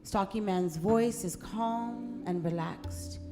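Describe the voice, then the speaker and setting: A woman narrates a scripted literary story with deliberate phrasing. She takes her time, at an unhurried pace and middling pitch, while instrumental music plays clearly behind her.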